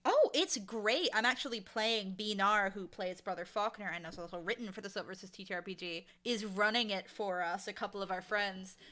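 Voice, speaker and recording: Woman, very bright forward voice, mid pitch, enthusiastic interview guest, emphatic casual talk. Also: brisk, hardly any pauses. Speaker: interview guest, audio drama showrunner and dialogue editor.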